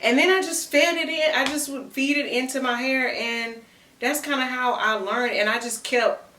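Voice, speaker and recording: Bright chatty woman in her thirties. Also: high-pitched, steady tone, normal speed, distant mic, slight background noise. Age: 30s. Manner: chatty, answers read-out questions, y'all and girl slang. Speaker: content creator. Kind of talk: casual Q&A chat to camera. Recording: echoey room, mic set back.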